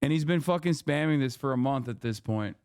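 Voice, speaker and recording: A man's voice: medium pitch, fairly quick, darkish tone, smooth, no echo, studio quality. A man streaming live, ranting to his chat in a heated, profane, rambling way.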